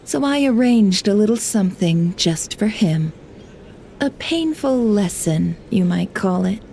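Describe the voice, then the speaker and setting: A woman voicing a composed, shrewd intel broker in a video game, speaking in a formal, measured, coolly teasing way. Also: mid-range voice, lively intonation, gravelly, mellow, slight background noise. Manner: formal, measured, understated menace.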